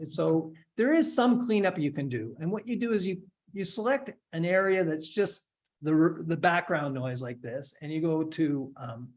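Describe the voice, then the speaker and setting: A man in his forties giving a relaxed, step-by-step software tutorial with light humor. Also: fairly high pitch. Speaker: instructor giving a software demo. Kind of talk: screen-share tutorial, casual explanation.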